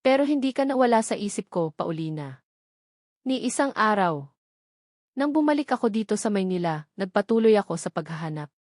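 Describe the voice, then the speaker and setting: A woman's voice: medium pitch, very animated, a little fast, darkish tone, dry recording, lightly acted. Woman reading as a remorseful male lover, Filipino accent, hesitant, pleading, evasive, audiobook romance, dry sound. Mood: hesitant, earnest.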